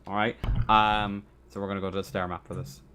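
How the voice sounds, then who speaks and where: Male game master, fairly low pitch, halting and self-correcting, slight noise on a home voice-chat line. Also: level tone, bright, forceful tone. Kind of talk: unscripted tabletop game narration.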